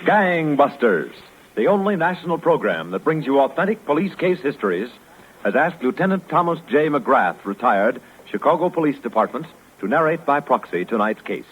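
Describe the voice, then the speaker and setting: A man's voice: fairly high pitch, big pitch swings, moderate pace, slightly rough. A man announcing a 1950s radio crime show in a formal, declamatory style. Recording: muffled, hissy 1950s radio recording.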